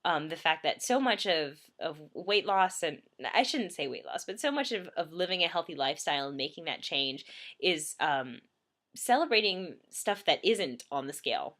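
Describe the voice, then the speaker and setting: A woman's voice: deep voice, animated, moderate pace, a bit bright. A young woman in her twenties chatting casually from bed in a vlog, slightly congested, full of ums and little laughs. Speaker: vlogger. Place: bedroom, in bed.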